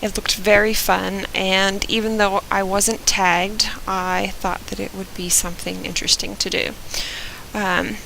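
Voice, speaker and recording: A young woman from Georgia with a softened Southern accent, chatting casually through an accent tag video with frequent "um" fillers. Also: mid-range voice, level tone, measured pace, creaky, slight background noise, low-quality recording. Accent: Southern US, softened by years in Oregon. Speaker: vlogger.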